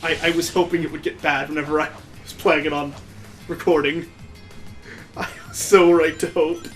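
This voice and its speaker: A man with a slightly high voice gives casual commentary as he plays a video game. He gripes with amused sarcasm, and game music plays faintly behind him. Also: flat delivery, normal speed. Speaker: let's play video host.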